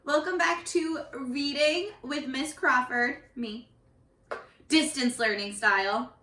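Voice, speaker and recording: A woman teacher leading an interactive read-aloud lesson for young children, animated and encouraging, often pausing to ask questions. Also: high-pitched. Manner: animated, encouraging, asks questions and pauses for answers. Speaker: primary school teacher. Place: living room at home. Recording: roomy home echo.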